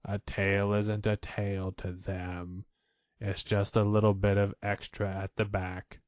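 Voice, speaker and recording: A man voicing a gloomy, long-suffering old donkey in a children's storybook, grumbling in a resigned, deadpan way. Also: on the low side, takes it slowly, dry recording, clean studio sound, pitched below the performer's own voice. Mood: mournful, deadpan.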